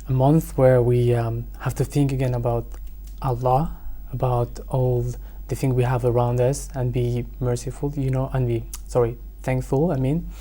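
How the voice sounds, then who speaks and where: A young man from France answering interview questions hesitantly, with many pauses and self-corrections. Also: medium pitch, little pitch movement, warm tone. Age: young adult. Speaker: guest.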